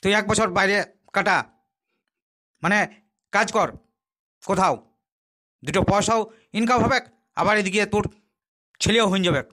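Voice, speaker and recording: A man's voice: high voice, moderate pace, long pauses, fairly bright tone. A man voicing a sly, self-assured fake holy man in a comic cartoon, with a condescending manner. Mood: sly, commanding. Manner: commanding, condescending guru talk.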